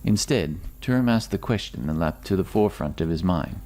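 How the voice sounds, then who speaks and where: A man narrating a fantasy story in a measured, dramatic scripted style. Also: low voice, expressive, mellow. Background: music.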